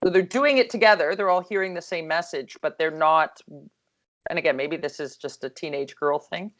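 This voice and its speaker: A woman with a low, calm voice talks about coaching over a video call. Her speech is relaxed and rambling, full of fillers and self-corrections, and her tone stays soothing. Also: normal speed. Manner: chatty, lots of fillers and restarts. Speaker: hockey coach. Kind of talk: casual video call discussion.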